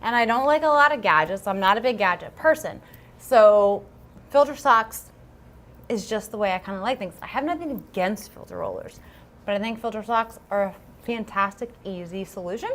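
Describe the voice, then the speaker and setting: A woman's voice: mid-range voice, normal speed, fairly bright tone. A woman co-hosting a casual livestream, chatty and upbeat, with plenty of ums and a self-deprecating streak. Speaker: livestream co-host.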